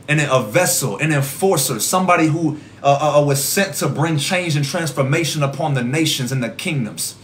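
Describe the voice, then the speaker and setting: A young man in his twenties, an evangelist from the American Midwest, praying fervently in a rhythmic, repeating cadence with a voice gone hoarse. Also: a little high, a bit bright, slight background noise. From Rockford, Illinois. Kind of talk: livestream prayer and preaching. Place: small indoor room at home. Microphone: phone camera at arm's length.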